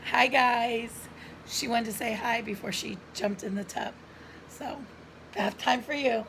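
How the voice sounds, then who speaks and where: A middle-aged woman with a hoarse voice and frequent coughs, telling viewers a personal story in an earnest, chatty way, recorded at home on a camera mic. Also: fairly high pitch, unhurried, fairly bright tone, slight background noise, rough recording. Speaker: lifestyle vlogger.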